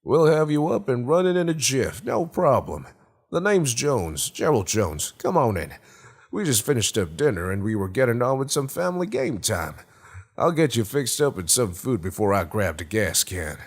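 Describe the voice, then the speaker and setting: A man's voice: mid-range voice, very animated, moderate pace, husky. A man voicing a cheery middle-aged suburban host in an audiobook, folksy and grating, with a Florida Yankee accent and breezy 1950s swagger. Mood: cheery, hospitable. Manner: folksy, eager, talks in one breezy rush.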